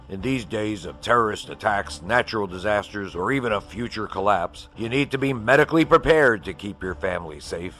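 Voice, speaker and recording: A man delivers a scripted sales read in the role of a doctor with products to promote. His voice is fairly low, with music underneath. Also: a bit bright.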